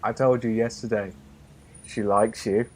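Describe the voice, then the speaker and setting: A man's voice: on the low side, fairly even intonation, measured pace, very noisy, close to an everyday voice. An actor playing a cheeky colleague who mocks his friend and swears casually. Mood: mocking. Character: cheeky male colleague, crude and joking.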